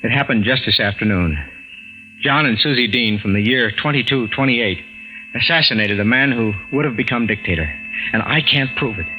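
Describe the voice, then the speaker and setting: An actor playing a stunned, excitable everyman husband in a 1940s radio drama, stammering with bewilderment. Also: mid-range voice, normal speed, music in the background, close to an everyday voice. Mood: confiding. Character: excitable, stunned everyman husband telling his incredible story. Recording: noisy vintage radio recording.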